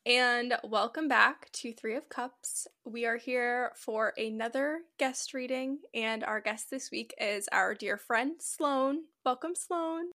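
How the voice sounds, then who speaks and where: A woman's voice: a little high, takes it slowly, few pauses, sharp tone. A woman co-hosting a casual podcast and reading tarot, with a congested, nasal voice from being sick. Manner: chatty, self-deprecating, laughs often. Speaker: podcast co-host.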